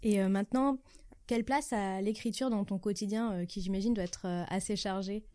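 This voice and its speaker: A woman's voice: mid-range voice, steady tone, a little fast, dark tone, no room sound. A woman interviewer asking questions in a casual, conversational way. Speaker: podcast interviewer.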